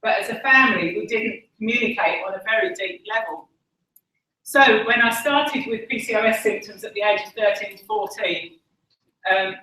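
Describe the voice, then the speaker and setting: A woman's voice: mid-range voice, bright, forceful tone. A woman with an Essex accent giving a candid personal testimony at a conference podium, earnest and self-deprecating, with frequent ums. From Essex, England. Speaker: conference speaker. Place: large conference room. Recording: echoey hall from far off.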